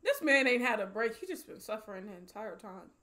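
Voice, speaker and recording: Young woman, American accent, high swooping voice, slangy movie reaction, film soundtrack behind. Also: fairly quick, soft background music. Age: young adult. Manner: expressive, slangy exclamations. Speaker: movie reaction video host. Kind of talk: casual emotional movie reaction. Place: small home streaming room, indoors. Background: film soundtrack and dialogue.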